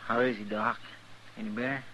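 An actor playing a plain, eager deputy sidekick in a radio western. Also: male voice, fairly low pitch, steady tone, fairly quick, lightly acted. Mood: concerned. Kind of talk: 1950s radio western acting. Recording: noisy old radio recording.

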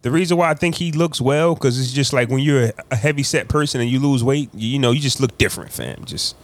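A man's voice: mid-range voice, fast. A man talking casually on a podcast about music, slangy and loose, drifting into storytelling asides. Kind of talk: casual hip-hop podcast banter.

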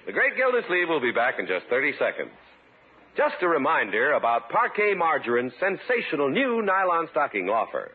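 Animated American 1950s radio announcer, a man. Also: on the high side, moderate pace, narrow, tinny audio, noisy background. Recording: thin old radio recording with loud music. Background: loud music.

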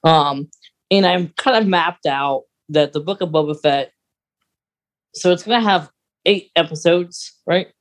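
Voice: mid-range voice, unhurried. A podcast co-host chatting casually about fan topics, thinking aloud in a hesitant, rambling way.

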